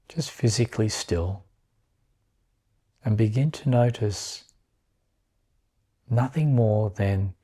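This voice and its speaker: A man guiding a meditation in a calm, unhurried manner, leaving long pauses between short phrases. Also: on the low side, breathy edge. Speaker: meditation guide. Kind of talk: guided meditation.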